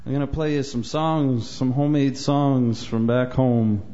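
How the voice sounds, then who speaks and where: A man from Nova Scotia, a folk singer-songwriter, chatting on stage between songs in a soft-spoken, hesitant way with many fillers. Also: medium pitch, steady tone, a little slow. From Nova Scotia, Canada. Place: small live venue on stage. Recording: dull lo-fi live recording with guitar. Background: guitar playing faintly.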